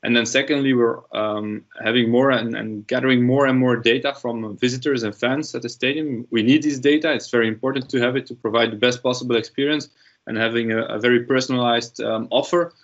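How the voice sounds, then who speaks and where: A man in his sixties speaks as an industry panelist from his study, steady and measured with occasional fillers. His mid-range voice reaches us through a dull, lo-fi video call. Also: medium pitch. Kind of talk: panel discussion. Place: home study with bookshelves. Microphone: laptop webcam video call.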